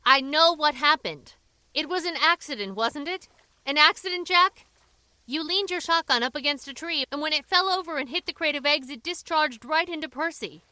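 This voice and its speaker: A woman's voice: sits high, normal speed, bright, forceful tone, no room sound. A woman acting in a radio drama as a feisty, plain-spoken woman who pleads earnestly and urgently. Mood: earnest persuasion.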